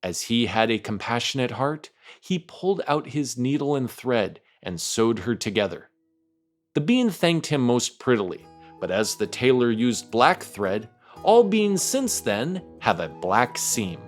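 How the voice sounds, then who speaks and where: A man narrates a children's tale in an expressive read-aloud style. His mid-pitched voice moves animatedly, and his phrasing is careful and theatrical. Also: a little slow, soft background music. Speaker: storyteller.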